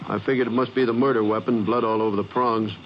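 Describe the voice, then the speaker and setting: An actor playing a plain-spoken small-town sheriff with a rural Texan accent in a 1950s radio western. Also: male voice, fairly low pitch, steady tone, fast, lightly acted. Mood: matter-of-fact. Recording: muffled, hissy old radio recording.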